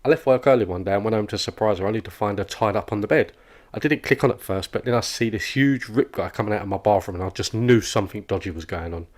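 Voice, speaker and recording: An actor playing an oblivious, relentlessly chatty office worker in an animated comedy, with a rambling, oversharing manner. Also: male voice, lowish voice, fast talker, natural voice. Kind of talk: comic animated dialogue.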